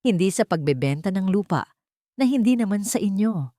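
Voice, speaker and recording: A woman's voice: medium pitch, animated, moderate pace, dark tone, no echo, clean studio sound, natural voice. A woman playing a proud, wounded single mother in a romance audio drama, firm, cold and defiant. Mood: cold defiance.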